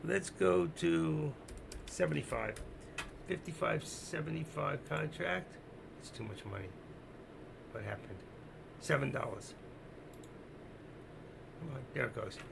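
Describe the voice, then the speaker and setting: Hoarse guy streaming live, super creaky voice, repeats himself thinking out loud, bit of noise behind him. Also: on the high side, lively intonation, takes it slowly, pauses often. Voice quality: gravelly, creaky. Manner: repetitive, thinking aloud, instructive. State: voice giving out, hoarse. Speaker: live stock-trading streamer. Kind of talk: unscripted live trading commentary, chatting with viewers.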